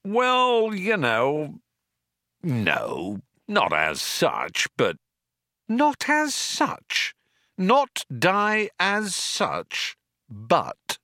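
An impatient, careless man as a male reader puts him on, blustering and easily exasperated. His pitch swoops wildly, in a dry storybook recording. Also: huge pitch swings, normal speed, pushed and bright, dry recording, exaggerated voice. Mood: defensive, flustered. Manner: blustering, hesitant stretched words, exasperated.

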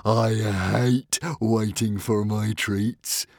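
A man voicing a manic, bloodthirsty male Viking chieftain in a storybook reading, with gleeful, roaring, drawn-out shouts. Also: on the low side, little pitch movement, no echo, clean studio sound, heavily acted.

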